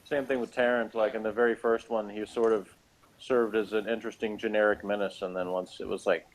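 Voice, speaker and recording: A man's voice: on the low side, little pitch movement, a little fast, poor audio. Sarcastic man in casual review chat. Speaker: co-host of a TV review show.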